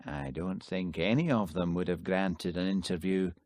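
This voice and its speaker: A man's voice: deep voice, moderate pace, darkish tone, no room sound, studio quality. A man reading an audiobook, voicing a pompous senior police detective who talks in a stiff, officious way. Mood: brusque. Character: pompous male detective superintendent.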